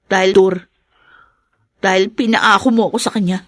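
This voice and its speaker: A woman's voice: medium pitch, fairly even intonation, moderate pace, no room sound, studio quality, lightly acted. A woman with a Filipino accent acting a young woman who stands up to her violent ex-lover in a radio drama. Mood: defiant. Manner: defiant, pleading.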